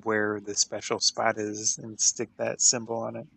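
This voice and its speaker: A man's voice: on the low side, completely flat delivery, unhurried, gravelly, bright, forceful tone, slightly rough. Man chatting casually as a game player. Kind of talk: casual chat during a tabletop game.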